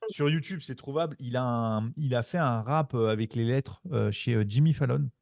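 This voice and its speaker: A man hosting a podcast in casual chat, relaxed and steering the conversation. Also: medium pitch, moderate pace, creaky, dry recording, studio quality. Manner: relaxed, leads the talk. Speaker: podcast host.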